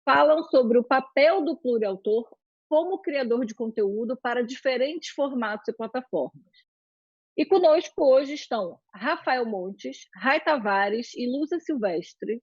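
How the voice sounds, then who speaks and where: A woman's voice: a little high, a little mellow, breathy edge, dry recording. A woman curator giving a formal, scripted introduction to an online panel. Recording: dry, slightly dull lo-fi sound.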